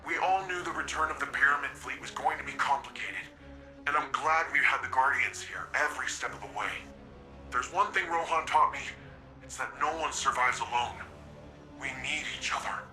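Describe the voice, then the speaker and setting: Bright, earnest young cyborg hero. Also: male voice, mid-range voice, moderate pace, faint music behind, poor audio. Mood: earnest, resolute. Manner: informal, casual phrasing. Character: young cyborg city champion, earnest and easygoing, mourning mentor. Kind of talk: video game character acting.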